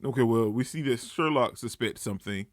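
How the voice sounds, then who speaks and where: A man giving casual, off-the-cuff reaction commentary, thinking out loud as he goes. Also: medium pitch, a little fast, no room sound, studio quality. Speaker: anime reaction host.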